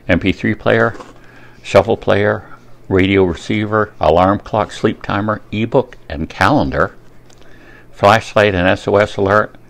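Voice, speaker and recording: A man giving a casual, unscripted product review in a rambling way, pausing often to think. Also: fairly low pitch, gravelly.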